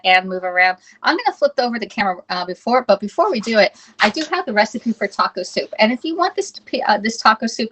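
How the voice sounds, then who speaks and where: A woman's voice: mid-range voice, fairly bright tone, slight background noise, rough recording. Chatty woman hosting a cooking livestream. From United States. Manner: chatty, reads viewer comments aloud, fumbles with the app. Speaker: live-stream cooking host. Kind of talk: casual live-stream chat.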